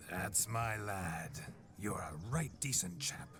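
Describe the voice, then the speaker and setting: A man's voice: sits low, expressive, drawn-out words, full character voice. A rakish, boisterous nobleman spirit. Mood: jovial. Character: brash, rakish nobleman's spirit possessing a man. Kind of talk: video game cutscene dialogue. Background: music.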